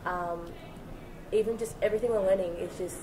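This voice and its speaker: A woman's voice: mid-range voice, fairly even intonation, brisk, crowd noise, rough recording. Thoughtful young woman from Australia. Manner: thoughtful, hesitant, uses "like". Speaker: student. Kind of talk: casual discussion.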